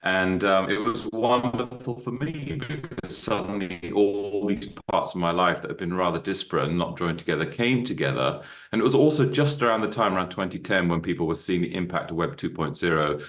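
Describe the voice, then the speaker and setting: A man in his fifties speaks with a deep bass voice, very low in pitch. He is a podcast interview guest who tells his story in a lively, expansive way. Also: a little fast. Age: 50s. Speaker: guest, university co-founder.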